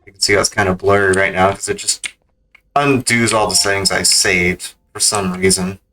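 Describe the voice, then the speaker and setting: A man's voice: deep voice, steady tone, moderate pace, pushed and bright, poor audio. A man giving casual gameplay commentary as a speedrun streamer. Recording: keyboard clicks and background noise.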